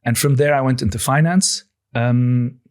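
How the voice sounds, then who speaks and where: A man in his forties, born and raised in Lebanon, answering podcast questions as a company founder in a measured, thoughtful way with frequent pauses and restarts. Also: medium pitch, fairly even intonation, darkish tone. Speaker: guest, coffee company founder.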